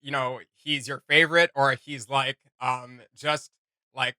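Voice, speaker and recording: A man's voice: mid-range voice, steady tone, unhurried, bright, forceful tone, no room sound. A man hosting a reaction video, talking casually and enthusiastically with plenty of slang.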